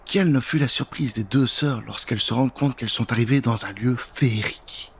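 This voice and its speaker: A man in his thirties telling a children's tale in an expressive, theatrical style, close to the microphone. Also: medium pitch, brisk, breathy edge. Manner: expressive, dramatic. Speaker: storyteller. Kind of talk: theatrical scripted storytelling for children. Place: indoor recording setup. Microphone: close microphone with pop filter.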